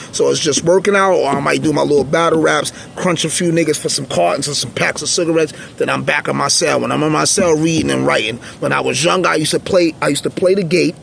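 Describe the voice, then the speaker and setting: A man with a raspy, intensely gravelly voice that sits somewhat high for a man. He talks in a blunt, streetwise, rambling way, like an unscripted monologue to his viewers. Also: fast, few pauses, a crowd in the background. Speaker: channel host, former prisoner.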